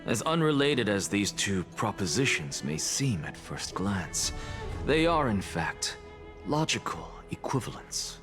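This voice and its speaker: Arrogant game professor, deep voice, really swoops around, slow grand lecturing, music behind. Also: male voice. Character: arrogant, theatrical male scholar professor, coolly obsessed with truth. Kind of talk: dramatic video game voice acting.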